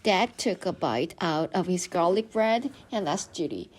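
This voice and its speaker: A woman reading a children's book aloud as an expressive storyteller, dramatizing as she goes. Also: lowish voice, a little slow. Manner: animated, dramatizing dialogue.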